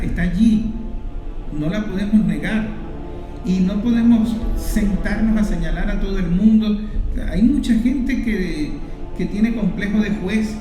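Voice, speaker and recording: A man with a Venezuelan accent giving informal political commentary in a colloquial, moralizing way, repeating phrases for emphasis. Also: sits high. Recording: roomy echo, music underneath.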